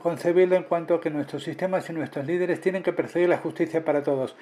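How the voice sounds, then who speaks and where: A man's voice: fairly high pitch, fairly even intonation, fast, dark tone. A man interpreting a conference talk live, following the speaker in short phrases and correcting himself as he goes. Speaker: simultaneous interpreter.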